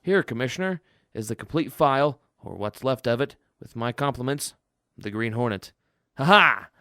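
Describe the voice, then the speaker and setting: A knowing, amused older father played by a man in a radio play. His voice is smooth and medium in pitch, with very little room sound. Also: expressive, moderate pace, pushed and bright, no room sound, studio quality. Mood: gleeful. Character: hero's knowing, good-humored older father. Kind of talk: radio drama acting.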